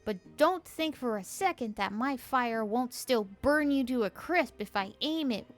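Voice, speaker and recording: A woman puts on a haughty dragon, proud and scornful, talking down to everyone. The voice sits higher than her own and swings up on the boasts. Faint music plays behind. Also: expressive, measured pace. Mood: defiant. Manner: arrogant, boastful, threatening. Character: haughty, proud dragon who lost its powers. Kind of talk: voicing video game dialogue.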